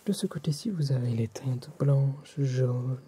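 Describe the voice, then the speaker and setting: A man with a French accent playing a courteous, attentive tailor in a whispered ASMR roleplay, speaking softly, politely and formally to a client. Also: mid-range voice, husky. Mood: calm, attentive. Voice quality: soft, hushed, often whispered. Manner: polite, formal, unhurried salesman-like.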